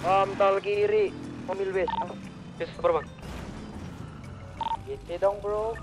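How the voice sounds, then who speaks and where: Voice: mid-range voice, steady tone, slow, music in the background. A gamer talking casually in an online game. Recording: noisy game voice chat, vehicle sounds.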